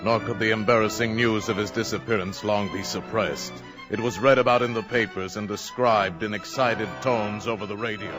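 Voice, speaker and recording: A man's voice: on the low side, fairly even intonation, normal speed. A man giving formal, scripted narration for an old radio drama. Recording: narration over loud music.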